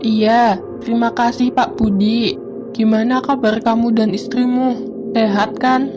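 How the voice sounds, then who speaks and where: A woman's voice: a little high, steady tone, a little slow, lightly acted. A woman voicing a friendly, chatty grandmother leading a family visit in a cartoon. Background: music.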